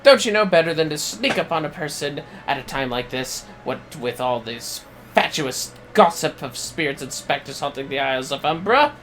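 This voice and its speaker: A man's voice: fairly high pitch, expressive, normal speed, fairly bright tone, slight background noise, pitched above the performer's own voice. A man voicing a jumpy, fussy villager in a fantasy game, flustered and pompous. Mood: startled, indignant.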